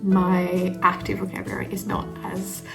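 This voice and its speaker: Woman vlogger, native French speaker, creaky voice. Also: fairly low pitch, completely flat delivery, moderate pace, music in the background. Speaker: writing vlogger. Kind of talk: casual reflective vlog talk.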